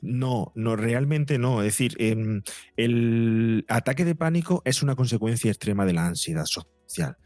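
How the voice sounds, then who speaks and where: A man's voice: medium pitch, lively intonation, unhurried, a little mellow, no echo, soft background music. Man from explaining, dry close sound. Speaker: psychologist and podcaster, interview guest. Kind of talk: explanatory interview talk. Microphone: close podcast mic, seated at a table.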